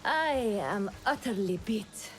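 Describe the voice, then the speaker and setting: A woman's voice: mid-range voice, expressive, slow, faint music behind. A woman playing a pampered, imperious lady in a video game cutscene, haughty and languid. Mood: imperious, weary.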